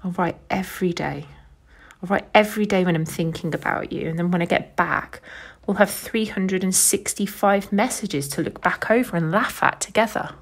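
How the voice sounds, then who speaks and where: Woman acting a breathy, tender young woman. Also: lowish voice, moderate pace. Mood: tender, sad. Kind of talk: stage acting, intimate scene.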